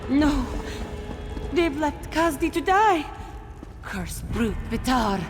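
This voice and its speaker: A woman's voice: high-pitched, big pitch swings, slow, music in the background, exaggerated voice. A woman playing a wretched, bitter cat-folk captive in a fantasy video game, dramatic and scornful, referring to herself in the third person. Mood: pleading, then bitter, despairing. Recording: long cavernous reverb.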